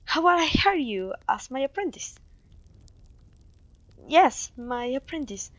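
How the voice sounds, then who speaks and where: A clumsy, kindly witch played by a woman mentors her apprentice in an ASMR fantasy roleplay. Her voice is on the high side and recorded close and dry, with polite, faintly old-fashioned phrasing and an apologetic, gentle manner. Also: sing-song, measured pace, no room sound, lo-fi sound. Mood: apologetic, reassuring.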